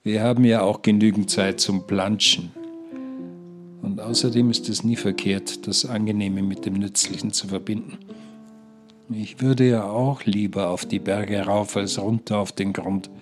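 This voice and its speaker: A man acting as a stubborn, self-sacrificing pensioner in a satirical radio play. His voice is low and his talk rambles on, colloquial and dryly persuasive. Faint music plays behind him. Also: steady tone, a little slow, natural voice. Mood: earnest, persuading. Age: older. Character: stubborn self-sacrificing retired husband, chatty and grumbling. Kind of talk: satirical radio drama monologue, conversational.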